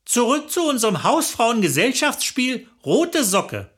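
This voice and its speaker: A woman's voice: a little high, big pitch swings, unhurried, slightly rough. An older woman telling a comic story in a chatty, gossipy way, chuckling as she goes. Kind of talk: comic monologue.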